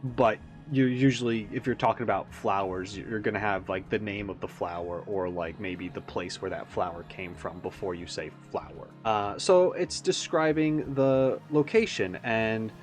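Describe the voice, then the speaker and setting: A man's voice: lowish voice, moderate pace, few pauses, soft background music. A man giving casual, rambling analysis as a video host, musing and tentative as he thinks aloud. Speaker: YouTube reaction host.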